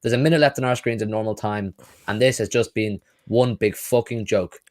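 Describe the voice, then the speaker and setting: A man's voice: fairly low pitch, brisk, darkish tone. A young man hosting a live football watch-along, ranting and swearing, then celebrating, and losing his voice.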